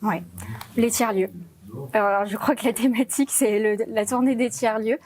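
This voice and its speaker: A woman from an interview, speaking with a French accent in a fairly high voice. She explains things in a didactic way, setting out each point in turn as a project lead would. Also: steady tone, normal speed, a little mellow. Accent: French (France). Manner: didactic, builds points step by step.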